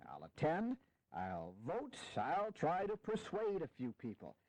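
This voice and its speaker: A lively, fairly high-voiced male statesman in a historical audio drama. He is a retired general, formal and dryly humorous, grumbling wryly as he reluctantly takes charge. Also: measured pace, no echo, exaggerated voice, rough recording. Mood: reluctant, weary.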